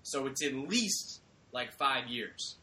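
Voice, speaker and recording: A man's voice: medium pitch, lively intonation, takes it slowly, sharp tone. A man hosting a podcast, teasing his co-hosts and steering a casual chat. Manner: teasing, wry, leads the talk. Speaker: podcast host.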